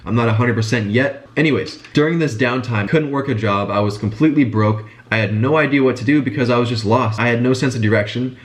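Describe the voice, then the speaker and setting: A young man telling his story in a casual vlog while congested and sick with a stuffy nose. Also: mid-range voice. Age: young adult. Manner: fast, chatty, informal. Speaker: business vlogger.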